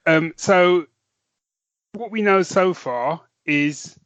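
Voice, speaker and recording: A man's voice: sits high, very slow, a bit bright, dry recording. A man co-hosting a podcast in casual chat, laughing easily and restarting his phrases. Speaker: podcast co-host.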